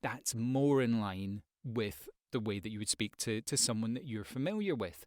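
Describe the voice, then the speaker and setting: Man, medium pitch, conversational tutorial delivery, rambling, expressive, close-miked, very dry sound. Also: normal speed, no room sound. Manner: rambling, expressive with acted examples. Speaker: voice-over artist and coach giving tips. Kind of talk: conversational tutorial talk to viewers.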